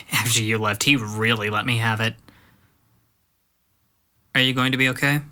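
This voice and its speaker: Man acting a sharp, bright-voiced younger cousin. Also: mid-range voice, steady tone, a little slow. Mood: wry, concerned. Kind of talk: audio drama dialogue.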